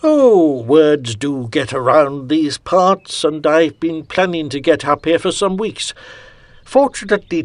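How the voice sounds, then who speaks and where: A man voicing a genial elderly English gentleman who speaks with old-fashioned, courtly politeness. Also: medium pitch, no room sound, natural voice.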